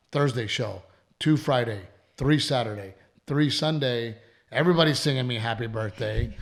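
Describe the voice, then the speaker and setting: A man with a gravelly, worn-out voice hosts a casual podcast. He rambles, repeats himself and swears offhandedly. His voice sounds strained and tired. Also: mid-range voice. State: voice worn from allergies and shows. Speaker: comedian and podcast host.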